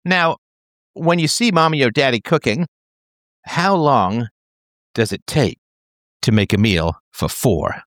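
Man, 30s, lively up-and-down voice, playful, dry close sound. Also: mid-range voice, slow pace, pauses often, no room sound. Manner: animated, playful, talks as if to a child. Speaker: parent explaining an idea. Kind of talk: casual chat.

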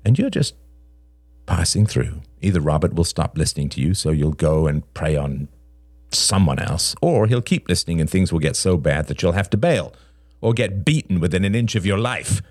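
A man voicing a cold, aggrieved father in an audiobook drama, deliberate and contemptuous as he confronts a rival. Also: low-pitched, expressive, a little mellow, no room sound. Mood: contemptuous, threatening.

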